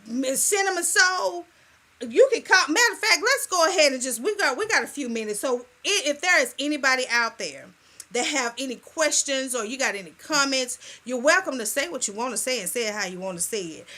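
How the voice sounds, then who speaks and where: A woman hosting a radio talk show in casual, playful chat, with a soft voice and a sassy, lively manner. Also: high-pitched, brisk. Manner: lively, playful, sassy, calls listeners "girl" and "y'all".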